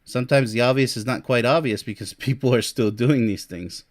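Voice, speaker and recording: A man's voice: medium pitch, fairly quick. A man in his early forties giving a blunt, boastful monologue with sarcastic asides and short laughs, reading points off a list. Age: 40s. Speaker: relationship coach and vlogger. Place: Europe.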